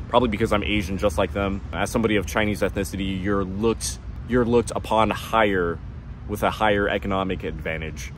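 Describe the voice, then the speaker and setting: American man, low voice, blunt casual talk, outdoor park, clear traffic noise. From California. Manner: blunt, casual, talks with his hands. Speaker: vlogger. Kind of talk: candid talk to camera. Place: sunny city park outdoors. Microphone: wireless clip-on mic, camera on tripod.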